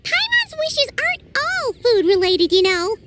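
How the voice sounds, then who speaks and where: A woman's voice: very high voice, unhurried, noisy background, full character voice. A woman voicing a tiny, childlike, chirpy and blunt fairy companion in a video game. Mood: worried, pouty. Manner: refers to self in third person, excitable.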